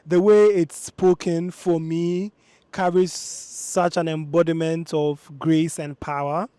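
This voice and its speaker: A man's voice: on the high side, level tone, slow, warm tone, clear, resonant tone, no room sound. A man from Ghana, a student, answering interview questions thoughtfully and with enthusiasm.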